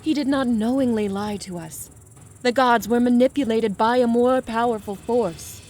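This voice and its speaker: A woman's voice: a little high, normal speed, a little mellow, a little hiss or hum, natural voice. A woman playing an earnest, defiant heretic warrior in a fantasy audio drama, speaking with solemn emphasis. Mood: grave, insistent.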